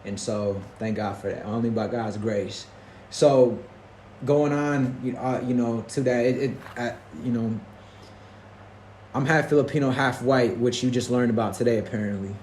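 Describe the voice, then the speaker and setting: A man's voice: medium pitch, fairly even intonation, normal speed, a little mellow. Earnest rambling man in an echoey room. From Detroit, United States. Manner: rambling, earnest, many restarts and "you know". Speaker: podcast host. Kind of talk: casual faith-filled conversation. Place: roomy indoor space, recorded in Portugal. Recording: echoey room with faint background noise.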